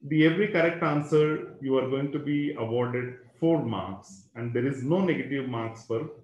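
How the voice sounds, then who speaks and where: A man's voice: mid-range voice, normal speed, darkish tone, echoey room, muffled recording. A man, a school educator explaining exams in an online webinar, talking into a computer microphone with many fillers and a habitual "right?".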